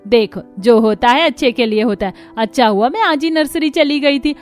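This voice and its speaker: A woman's voice: on the high side, moderate pace, faint music behind, close to an everyday voice. A woman voicing a cheerful, bossy middle-aged mother-in-law in a cartoon story, exclaiming and insistent. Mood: pleased, reassuring.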